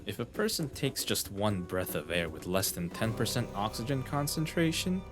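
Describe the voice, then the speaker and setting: A man acts out an eccentric young male detective in an anime dub. His voice sits low, coolly intense with a flippant streak, over music. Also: big pitch swings, normal speed. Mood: calm, explaining. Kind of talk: anime fan dub acting.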